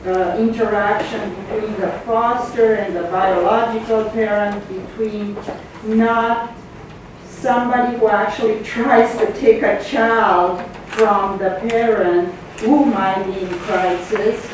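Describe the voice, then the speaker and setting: A woman's voice: medium pitch, fairly even intonation, takes it slowly. Distant, echoing woman in an audience. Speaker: audience member asking a question. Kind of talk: audience question and comment. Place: lecture hall audience, indoors. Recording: very echoey, distant, noisy room.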